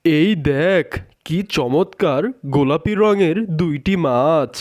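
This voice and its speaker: A man's voice: fairly high pitch, slow, a little mellow. A man voicing a doting, teasing, poor fisherman father in a cartoon. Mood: pleased. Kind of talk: cartoon character dialogue.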